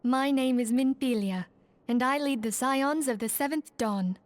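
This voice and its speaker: A woman playing a poised, gracious leader of a secret order in a fantasy game, speaking in calm, formal, measured phrases. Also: sits high, no room sound. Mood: welcoming, serene. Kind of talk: scripted fantasy game acting.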